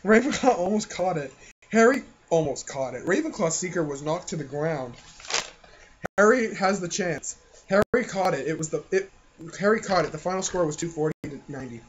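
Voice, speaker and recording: A man reads a childhood story aloud on a comedy podcast, giggling and cracking jokes between lines. His voice swings widely in pitch. The recording sounds dull and lo-fi, with a faint hiss underneath. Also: expressive, normal speed. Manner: giggly, keeps breaking into laughter while reading. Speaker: co-host. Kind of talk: reading a childhood fan fiction aloud, joking.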